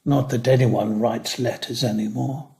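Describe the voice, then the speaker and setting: An older man playing a lonely, wry retired teacher near eighty in a care home, in a reflective, measured dramatic monologue. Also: medium pitch, fairly even intonation, mellow, lightly acted. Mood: wistful, wry.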